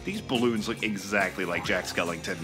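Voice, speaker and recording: A man's voice: low voice, fairly quick, over music. A man giving casual, joking let's play commentary.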